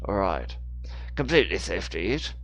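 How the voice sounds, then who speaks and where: A narrator voicing a gruff elderly British man, a retired spy who speaks in a brisk, formal but reassuring way. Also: very animated, faint music behind, heavily acted. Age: older. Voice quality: deep.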